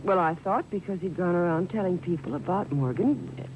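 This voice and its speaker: A woman's voice: fairly low pitch, moderate pace, phone-quality sound, close to an everyday voice. An actress playing an eager, admiring young woman in a 1950s radio mystery, full of hesitant, wondering questions. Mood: puzzled. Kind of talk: 1950s radio drama acting. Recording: noisy 1950s radio show on cassette.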